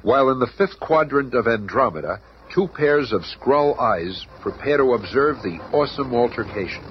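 An actor playing a dramatic radio serial narrator, suspenseful and emphatic. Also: male voice, medium pitch, animated, close to an everyday voice. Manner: slow-building, suspenseful, emphatic. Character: dramatic, portentous male narrator. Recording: muffled, noisy old radio recording.

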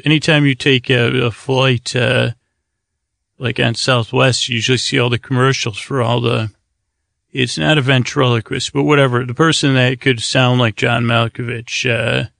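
A tired man rambles through a bedtime podcast in a gravelly, creaky voice. He wanders hesitantly from one half-finished thought to the next with little swing in pitch. The sound is close and dry. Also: fairly bright tone, no echo. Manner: meandering, hesitant, trailing off mid-thought. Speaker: podcast host.

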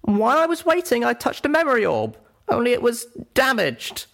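A man's voice: very high voice, very animated, moderate pace, creaky, a bit bright, voice pushed up, exaggerated voice. A man voicing a timid, shaken young female pony in an audiobook story, speaking hesitantly and apologetically. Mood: guilty, hesitant.

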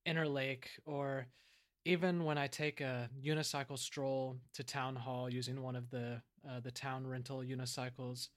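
A man's voice: mid-range voice, close to an everyday voice. A man playing an earnest, grandiose small-town island mayor in an improvised audio comedy, speaking with mock-solemn sincerity. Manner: halting, rambling, sincere, drawn-out words.

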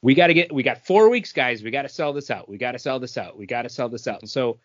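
A man's voice: mid-range voice, expressive, fast talker, fairly bright tone, no echo. A man co-hosting a podcast, explaining things casually and often repeating himself. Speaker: podcast co-host. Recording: dull, lo-fi sound.

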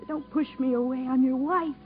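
A lonely, devoted wife played by a woman pleads in a high voice in an old radio melodrama. She is earnest and halting, on a thin, phone-like 1950s broadcast with faint music. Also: steady tone, close to an everyday voice. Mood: pleading, lonely.

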